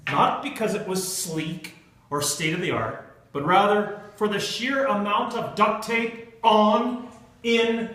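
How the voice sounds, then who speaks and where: A man giving a humorous storytelling speech to an audience in a theatrical manner, with dramatic pauses and stretched emphasis. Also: high-pitched, fairly bright tone. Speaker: Toastmasters club speaker telling a humorous tale. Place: indoor meeting room with an audience. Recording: echoey room, recorded from far off.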